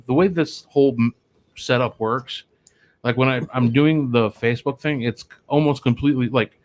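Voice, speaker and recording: A man's voice: mid-range voice, normal speed. A man hosting a paranormal talk show from a small studio, rambling with lots of fillers and restarts. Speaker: podcast host. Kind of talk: casual chat. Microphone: studio microphone.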